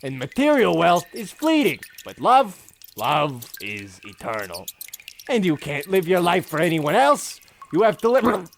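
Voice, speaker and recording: A man playing an excitable, overwrought husband in a theatrical comic audio drama. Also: a little high, a little slow, vocal fry, slight background noise, full character voice. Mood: solemn. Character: excitable, melodramatic husband on a doomed holiday.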